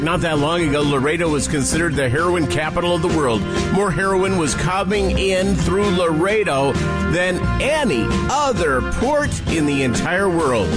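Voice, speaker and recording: A man hosting a scripted comic news segment, full of wry, sarcastic asides. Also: fairly high pitch. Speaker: segment host. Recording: loud music bed under voice.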